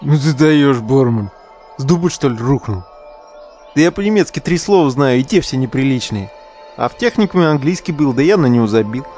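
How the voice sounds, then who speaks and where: An actor playing a scared, foul-mouthed ex-skinhead of twenty-seven who whines in crude slang in an audio drama. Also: male voice, medium pitch, animated, brisk, a little mellow, faint music behind, close to an everyday voice. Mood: glum, crude. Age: young adult. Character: whining, foul-mouthed 27-year-old ex-skinhead, scared and regretful.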